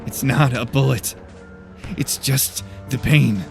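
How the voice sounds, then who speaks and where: A man's voice: medium pitch, takes it slowly, vocal fry, over music, pitched above the performer's own voice. A man voicing a bitter, war-haunted young veteran turned student in an audiobook, terse and brooding. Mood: strained. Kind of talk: audiobook character voice.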